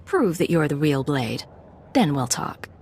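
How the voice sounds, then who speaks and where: A young imperial spy in a sci-fi game. Her voice is slightly low, poised and controlled, with a crisp delivery. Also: big pitch swings, measured pace, darkish tone, slight background noise, full character voice. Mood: defiant. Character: cool, poised young female imperial secret agent.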